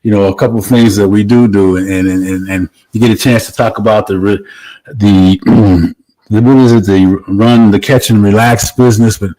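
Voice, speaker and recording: A man's voice: sits low, a little mellow, low-quality recording. A man hosting an interview in a casual, informal and rambling conversational way. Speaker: interview host.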